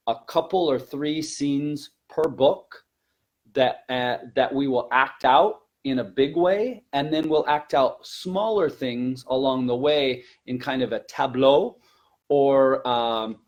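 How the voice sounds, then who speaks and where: A man with a mid-range voice gives an informal teaching presentation. He explains things conversationally and practically, like a working language teacher talking to colleagues. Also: mid-range voice.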